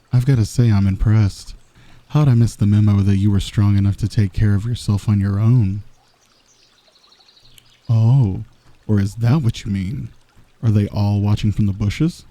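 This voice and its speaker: Man playing a smug, dark-voiced flirtatious villain. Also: lowish voice, measured pace, pauses often. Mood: mocking, amused. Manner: teasing, smug, drawling taunts. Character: smug, confident male villain teasing and flirting with a rival. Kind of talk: romantic ASMR roleplay, intimate scripted monologue.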